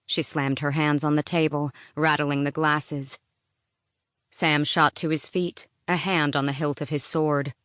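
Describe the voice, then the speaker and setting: A woman reads fiction as an audiobook narrator. Her voice is low, and she tells the story with drama. The recording is dry, with very little room sound. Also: level tone, unhurried, fairly bright tone, smooth, no room sound.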